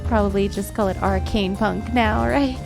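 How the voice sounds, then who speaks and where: A woman livestreaming a video game, chatting casually with viewers and reacting in an animated, giggly way. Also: mid-range voice, moderate pace, gravelly. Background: video game music.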